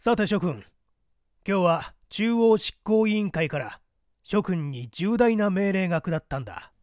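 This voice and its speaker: A man acts out a masked secret-society leader from a mystery audiobook. He gives grave orders in a stiff, commanding voice, pitched up and lively in intonation, in a dry, close recording. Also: a little slow, dry recording.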